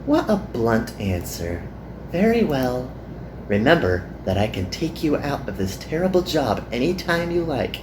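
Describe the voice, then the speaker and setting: A man's voice: medium pitch, very animated, a little slow, reverberant, noisy background. A man voicing a teasing, haughty, seductive woman from an anime visual novel game.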